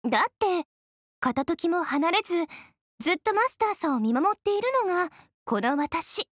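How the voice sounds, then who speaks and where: A woman's voice: very high voice, expressive, moderate pace, no echo, studio quality. A woman voicing a devilish young female AI in an anime game, coy and polite with a mock-sweet, sinister edge. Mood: teasing, smug. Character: teasing, devilish young female moon super AI, sweetly menacing. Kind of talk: Japanese anime game voice acting.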